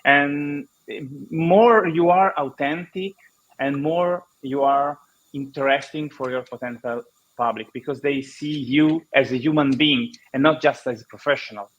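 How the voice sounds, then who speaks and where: A man's voice: fairly high pitch, a little slow. A man with an Italian accent speaking emphatically on a conference panel, repeating his key points. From Italy. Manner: emphatic, repeats key phrases, gestures a lot with his hands. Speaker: conference panelist on personal branding. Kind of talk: conversational panel talk. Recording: dull lo-fi audio.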